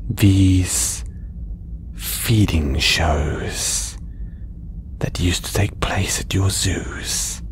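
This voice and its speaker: A man playing a condescending, possessive alien collector in an intimate, breathy audio roleplay. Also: deep voice, darkish tone, a little hiss or hum. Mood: condescending curiosity. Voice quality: breathy, whispery. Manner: condescending, slow, coaxing. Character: condescending, possessive male alien collector keeping a human specimen. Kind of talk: audio roleplay acting, intimate ASMR delivery.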